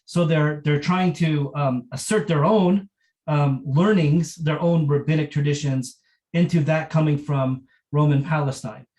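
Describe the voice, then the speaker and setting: A man giving an unscripted academic lecture, halting as he searches for words and often repeating phrases. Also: fairly high pitch, darkish tone.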